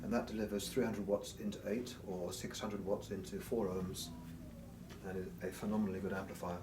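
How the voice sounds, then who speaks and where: A man's voice: low-pitched, little pitch movement, a little fast, noisy background. A man giving an informal, explanatory technical product presentation as an exhibitor at a show. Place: room at an audio show. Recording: roomy, distant mic, music behind.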